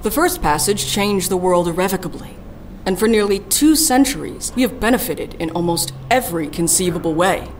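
Lower-pitched, confident, formal woman acted. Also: normal speed, a little mellow, close to an everyday voice. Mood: hopeful. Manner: formal, articulate. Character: confident, optimistic woman speaking as a formal advocate. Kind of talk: audio drama speech. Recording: faint background noise.

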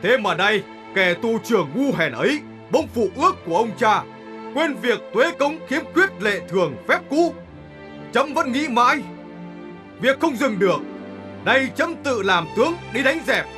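A man playing a resolute middle-aged emperor in an animated historical drama, with stately, declamatory delivery. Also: extremely high pitch, measured pace, soft background music, full character voice. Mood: rousing, proclaiming. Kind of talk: animated historical drama acting, formal oratory.

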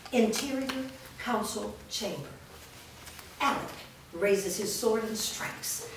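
A woman's voice: fairly high pitch, a little hiss or hum. Echoey woman reading stage directions. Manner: steady, clear narration. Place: large studio room with actors in a circle. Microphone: far camera. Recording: roomy echo from far off.

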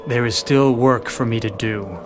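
Man voicing honorable samurai strategist, declamatory, earnest, medium pitch, video game drama, background music. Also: moderate pace, lightly acted. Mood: resolute. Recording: music under the voice.

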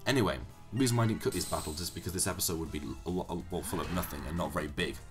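Young man, hoarse and low, casual let's play commentator who rambles and corrects himself. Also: rapid-fire, music in the background. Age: young adult. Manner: rambling, self-correcting explanations. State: hoarse from illness.

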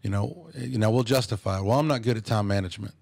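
A man in his fifties talking reflectively as a podcast guest, thoughtful and measured, with many restarts. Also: on the low side, gravelly, a little mellow, no room sound. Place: small podcast studio. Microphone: studio microphone on a boom arm.

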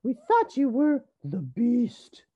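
A woman's voice: on the high side, wildly swooping pitch, slow, husky, lo-fi sound, pitched above the performer's own voice, full character voice. A woman voicing a young, eager, easily startled little rabbit sister in a storybook, crying out.

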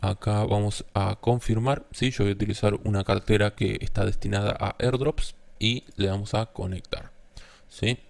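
A man's voice: lowish voice, fairly even intonation, moderate pace, creaky. A man narrating a step-by-step screen tutorial as its host, often checking in with a short questioning tag.

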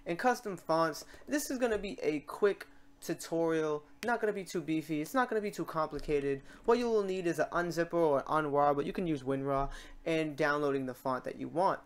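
A tutorial host talking casually and chattily through software steps in an informal screencast. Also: mid-range voice, fairly quick. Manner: informal, chatty step-by-step instruction.

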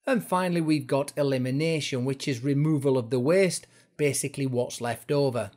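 A man's voice: mid-range voice, moderate pace, darkish tone. A man narrating an educational explainer as an instructor, going through it step by step in informal words. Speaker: fitness instructor presenting a course.

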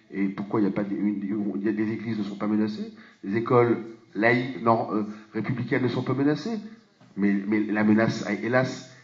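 Emphatic man on a handheld mic in an echoey hall. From France. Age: middle-aged. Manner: emphatic, rhetorical questions, frequent restarts, swings between low and loud. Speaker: parliamentarian speaker on a panel. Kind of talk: impassioned public speech. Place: conference hall with audience. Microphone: handheld microphone. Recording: echoey hall, dull lo-fi sound.

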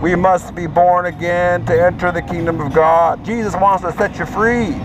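A man preaching outdoors on a city sidewalk at night, exhorting passersby in a steady, repetitive cadence. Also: high-pitched, moderate pace, music in the background, low-quality recording. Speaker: street preacher. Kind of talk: open-air street preaching. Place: city sidewalk at night outside a theme park.

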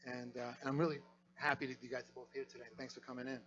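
Man, host, quick talker, chatty, stumbles and repeats, handheld mic, muffled lo-fi sound. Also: mid-range voice, flat delivery, fairly bright tone. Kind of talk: casual interview chat. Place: broadcast booth in a restaurant by a window. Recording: dull lo-fi sound.

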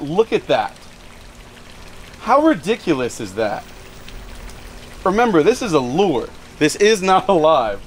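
Young guy fishing outside, chatty and hyped, a bit high voice, faint noise behind. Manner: excitable, chatty, talks to viewers while fishing. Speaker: fishing channel host. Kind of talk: casual fishing vlog, talking to viewers. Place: outdoors by a lake and a pool.

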